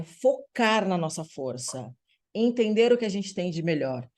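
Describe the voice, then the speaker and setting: Upbeat Brazilian woman hosting a video meeting. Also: lowish voice. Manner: upbeat, warm-sounding through her words, drops in frequent "tá bom?" check-ins. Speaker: host of an online women's group meeting. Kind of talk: lively welcoming online meeting talk.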